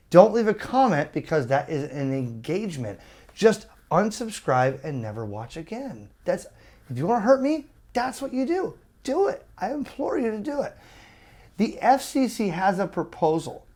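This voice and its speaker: A man's voice: on the high side, sing-song, normal speed, a little mellow. A man giving an opinionated, exasperated video rant to his viewers in a blunt, emphatic way. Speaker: ham radio YouTuber.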